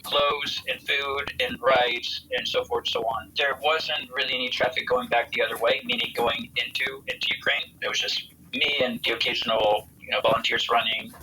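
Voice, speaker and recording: A middle-aged American man from Texas recounting his experience in an interview in a measured, matter-of-fact way. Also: medium pitch, fairly even intonation, sharp tone, a little hiss or hum, poor audio. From Texas, United States. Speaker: interviewed military volunteer and veteran.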